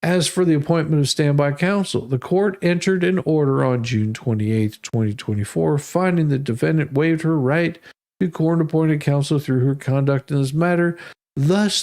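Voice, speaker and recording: A man's voice: on the high side, normal speed, darkish tone. An American man reading documents aloud as a host, with casual, sarcastic asides and a folksy manner.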